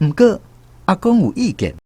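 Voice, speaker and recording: Expressive Taiwanese male storyteller. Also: high-pitched, moderate pace. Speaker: radio drama narrator. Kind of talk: scripted first-person storytelling narration.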